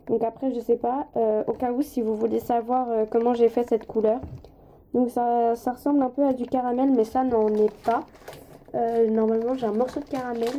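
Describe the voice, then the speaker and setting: Woman with a very soft-toned, stuffy voice. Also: fairly high pitch. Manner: hesitant, apologetic, informal. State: slight head cold. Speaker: craft tutorial host. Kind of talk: casual hands-on craft tutorial.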